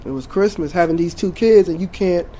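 A man in his forties from Jamaica, a teacher, talking in a casual interview, relaxed and rambling with many fillers and restarts. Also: high-pitched, a little fast, rough recording.

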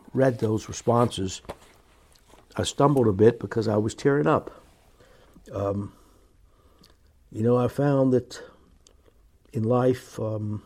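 A middle-aged man speaking in an earnest, halting, plain-spoken way, as a business owner giving sincere personal remarks. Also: mid-range voice, warm tone. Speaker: business owner reading staff emails and reflecting.

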